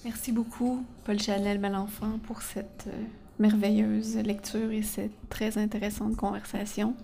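A woman moderator speaks in a flat, gravelly tone, heard from some distance away. She is polite and hesitant and pauses now and then, with a faint hum of the room behind her. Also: medium pitch. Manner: polite, halting with fillers. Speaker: event moderator. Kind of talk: closing thanks.

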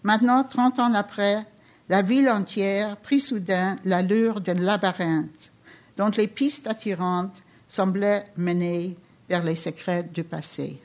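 A woman author reading an excerpt of her novel aloud in French, which is not her first language, steady with small restarts. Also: medium pitch, slow.

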